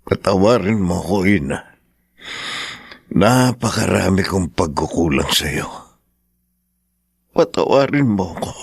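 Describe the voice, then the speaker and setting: A man's voice: low-pitched, expressive, slow, creaky, long pauses, husky, no echo, studio quality, full character voice. An actor playing a middle-aged working-class father in a radio drama, hot-headed yet doting, with a pleading, blustering manner. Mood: remorseful, tender.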